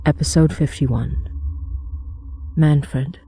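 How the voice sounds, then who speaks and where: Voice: medium pitch, steady tone, very slow, vocal fry, mellow, husky. A podcast announcer reading a scripted episode introduction.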